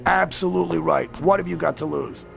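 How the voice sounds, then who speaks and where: A man's voice: fairly high pitch, a little fast, over music. An actor playing a sly, boastful devil posing as a genial old newspaperman in a radio drama, courtly and theatrical. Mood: smooth, insinuating. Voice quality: creaky, gravelly. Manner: courtly, theatrical, teasing, self-satisfied.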